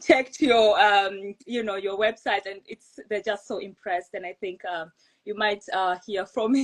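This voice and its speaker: A woman co-hosting an online panel, chatty and quick to laugh, with plenty of fillers over a video call. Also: medium pitch, steady tone. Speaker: co-host and interviewer. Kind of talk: casual online panel chat.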